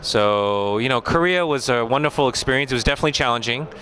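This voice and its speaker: An American man, a film director, talking through a festival interview in a relaxed, thoughtful way with many pauses and fillers. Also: mid-range voice. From United States.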